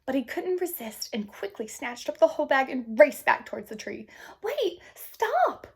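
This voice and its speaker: A woman reads a children's storybook aloud. Her voice sits a little high, and she takes her time, gentle and expressive, slipping between narration and casual comments for her young listeners. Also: brisk, vocal fry. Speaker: storybook reader. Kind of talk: picture book read-aloud with casual asides to young listeners.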